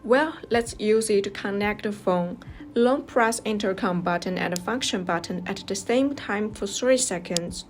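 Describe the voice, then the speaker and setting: A woman's voice: medium pitch, measured pace. A woman narrating a scripted product review step by step as the reviewer. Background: music.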